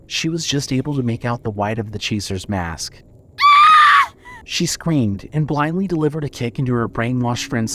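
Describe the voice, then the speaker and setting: A man's voice: medium pitch, a little hiss or hum. A man narrating a scripted dramatic story for a fiction podcast.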